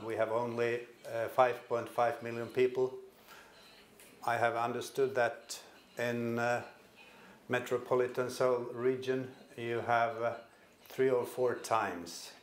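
Halting Finnish-accented older man. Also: mid-range voice, fairly even intonation, slight background noise. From Finland. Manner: halting, repeats words at each restart. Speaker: conference speaker. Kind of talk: conference presentation. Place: conference hall. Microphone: stand microphone at a podium. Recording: echoey conference hall.

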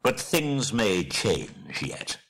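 Man playing a husky, commanding old wizard. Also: on the low side, big pitch swings, a bit bright, full character voice. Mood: wry, stern. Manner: deliberate, wry, authoritative. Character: commanding old male wizard, wry and stern.

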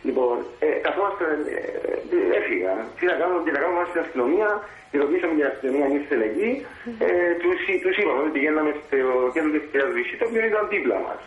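A man with a Cypriot Greek accent calling in by phone, telling a personal story in a halting, hesitant way. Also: fairly high pitch, animated, reverberant. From Cyprus. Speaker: phone-in caller. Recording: tinny, narrow phone line.

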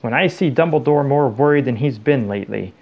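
Man as a huge, gruff, blunt groundskeeper. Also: medium pitch, lively intonation, normal speed, highs cut off. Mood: angry. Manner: rustic, nonstandard grammar, blunt. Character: huge, rough, loyal, hot-tempered groundskeeper.

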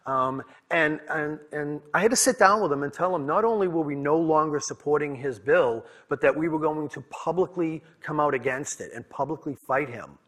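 A man's voice: a little high, normal speed, few pauses, fairly bright tone. A man with a Boston accent, from New England, giving a conference presentation in candid storytelling style, often repeating and restarting words. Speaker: conference speaker. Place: conference room.

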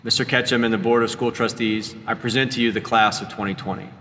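Middle-aged principal, formal and measured, bright tone, hall reverb. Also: male voice, on the low side, steady tone. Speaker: high school principal. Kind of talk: formal ceremony announcements. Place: school gymnasium.

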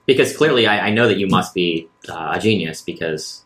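A man's voice: low voice, lively intonation, brisk, a bit bright. A man playing a tabletop role-playing game, talking casually as he improvises.

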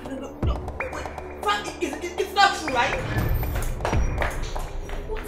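An actress with a Nigerian accent playing a young bride-to-be, flustered and stammering. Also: female voice, sits high, animated, slow pace, loud music behind the voice. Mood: shocked. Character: young bride-to-be introducing fiancé.

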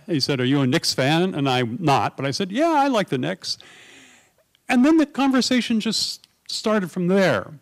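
A middle-aged man from New York, speaking at a conference panel table into a stand mic, telling anecdotes in a lively, conversational way. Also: on the high side, gravelly. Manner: animated anecdotal, acts out quoted voices. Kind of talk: conversational storytelling.

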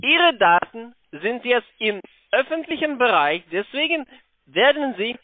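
A man with a foreign accent, not a native speaker, making a halting phone sales pitch with frequent tag questions. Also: big pitch swings, no echo. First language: not German. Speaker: telephone sales caller pitching investments. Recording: narrow tinny phone line.

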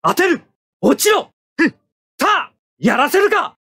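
A man's voice: extremely high pitch, very animated, takes it slowly, sharp tone, no echo, studio quality, full character voice. An actor playing a stoic young male mecha pilot in an anime combat game, speaking in terse, tactical military phrases. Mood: intense battle cries.

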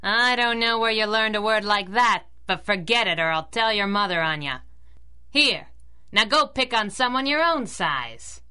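Spunky young working woman, period radio comedy-adventure: bright, lively, sassy, wisecracking. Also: mid-range voice, smooth. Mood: teasing, sassy. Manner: brisk wisecracking. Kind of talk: 1930s-style radio drama acting.

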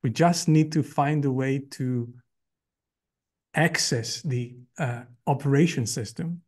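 A man's voice: medium pitch, darkish tone. Earnest man on a video call. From Croatia. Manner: earnest and enthusiastic, with frequent "uh" pauses. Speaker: guest, company CEO. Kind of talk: interview over video call. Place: home room indoors.

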